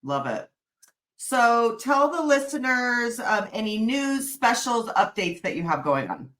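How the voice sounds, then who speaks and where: A woman's voice: fairly high pitch, very animated, a little slow, a bit bright. A woman hosting a local podcast, asking questions in a friendly, affirming way during a casual interview. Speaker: podcast host.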